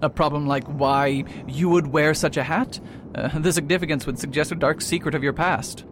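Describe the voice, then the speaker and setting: A man's voice: a little high, moderate pace, natural voice. A man playing a proud, faded detective who talks pompously and hesitates with filler sounds, in an amateur radio drama. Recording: noisy recording with steady hiss.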